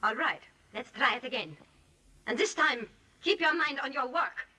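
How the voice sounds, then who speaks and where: High, intense, proud ballerina. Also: female voice, animated, moderate pace, heavy background noise. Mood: cold, clipped. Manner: clipped, controlled, turning icy and intense. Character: proud, precise, vengeful female prima ballerina. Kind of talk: 1940s radio acting. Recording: distant-miked 1940s radio broadcast.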